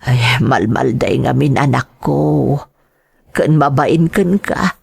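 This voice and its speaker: A woman's voice: extremely low pitch, takes it slowly, creaky, a little mellow, husky, no echo, clean studio sound, heavily acted. A woman acting a worried, loving middle-aged mother in an audio drama, with an Ilocano Filipino accent. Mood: resigned, sorrowful. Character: worried, loving mother of a daughter going abroad.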